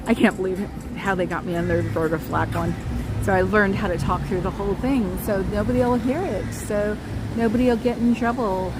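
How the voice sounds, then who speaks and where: A woman's voice: mid-range voice, fairly even intonation, brisk, over music, poor audio. A woman live-streaming outdoors on a city street, talking in a rambling, chatty way and calling out to passers-by while she is losing her voice. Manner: chatty, digressive, calls out to passers-by. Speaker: livestreamer. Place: outdoors on a street in San Francisco. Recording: outdoor street recording with traffic noise.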